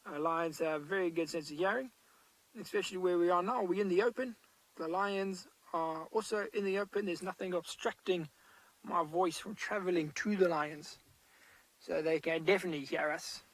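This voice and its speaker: A man, a safari guide giving loud, animated live commentary from an open vehicle outdoors. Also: sits high, moderate pace, no room sound, low-quality recording.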